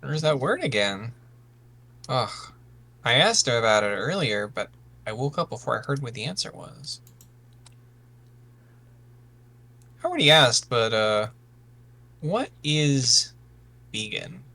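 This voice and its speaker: A man's voice: mid-range voice, sing-song, a little slow, long pauses, bright, forceful tone, full character voice. A man playing a sensitive, anxious misfit son of warriors, hesitant and earnest, often stammering. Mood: hesitant, puzzled.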